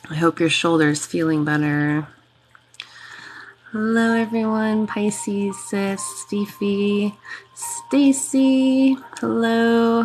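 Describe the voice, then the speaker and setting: A woman's voice: medium pitch, rough recording. A woman hosting a reiki livestream, greeting and thanking viewers by name in a casual, chatty way while congested and sniffly from a cold.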